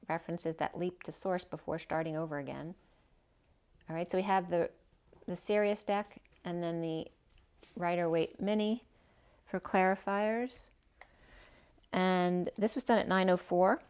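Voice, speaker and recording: Woman, lowish voice, slightly hoarse, tarot reader rambling through her spread on a dull lo-fi recording. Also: a little mellow. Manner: meandering, listing cards with fillers. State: losing her voice a little. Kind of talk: spiritual tarot reading. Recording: dull lo-fi sound.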